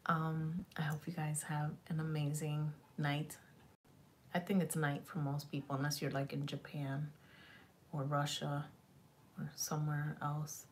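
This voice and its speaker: Slightly raspy woman rambling on a livestream. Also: sits low, monotone, a little slow, slight background noise. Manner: loose and profane, slips into Spanish words. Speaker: beauty vlogger. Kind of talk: rambling casual livestream chat. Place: small home beauty room, indoors.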